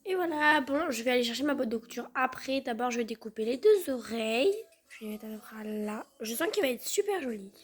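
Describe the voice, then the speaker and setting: A woman's voice: fairly high pitch, expressive, fairly quick, breathy edge. A woman with a cold chatting hesitantly from her living-room sofa while doing a craft project. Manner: chatty, hesitant with many fillers. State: has a cold. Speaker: vlogger. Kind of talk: casual crafting vlog.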